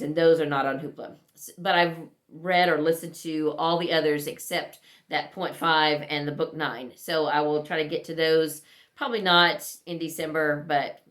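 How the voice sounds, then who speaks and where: A woman's voice: mid-range voice, a bit bright. A middle-aged woman chatting casually to viewers as a book vlogger, in a rambling, conversational way. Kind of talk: casual chat to viewers about books.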